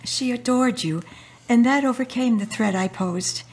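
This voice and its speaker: Husky, higher-voiced tender mother, played by a woman. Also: normal speed, low-quality recording. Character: tender, consoling middle-aged mother. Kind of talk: amateur radio drama acting.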